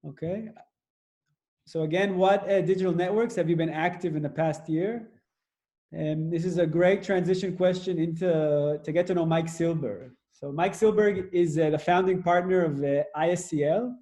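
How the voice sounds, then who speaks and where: A man's voice: a little high, steady tone, moderate pace, mellow. A man moderating an online webinar over a video call, introducing the next speaker with many fillers.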